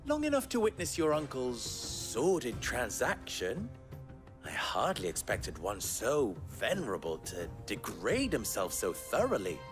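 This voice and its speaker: An actor playing a wry, sardonic merchant fixer with a dry, teasing manner in a game cutscene. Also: male voice, mid-range voice, huge pitch swings, a little slow, music in the background. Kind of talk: video game cutscene acting. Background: game score.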